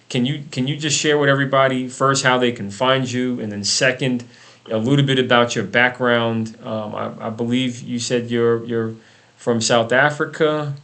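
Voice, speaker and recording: Guy hosting a radio talk show, lots of fry in his voice, conversational interview style. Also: medium pitch, fairly bright tone.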